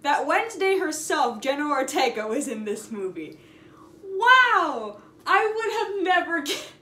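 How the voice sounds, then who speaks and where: High-voiced young woman telling stories, echoey room. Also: measured pace. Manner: animated, sarcastic, stretches words for emphasis. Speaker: storytime vlogger. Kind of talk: casual, chatty anecdote storytelling. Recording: roomy echo, noticeable background noise.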